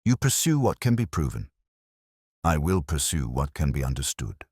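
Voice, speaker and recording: A man voicing a cool, brooding detective in an audiobook, musing in measured phrases. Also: a bass voice, sing-song, a little mellow, slightly rough, no room sound, clean studio sound, heavily acted. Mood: cool, knowing. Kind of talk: audiobook detective story dialogue.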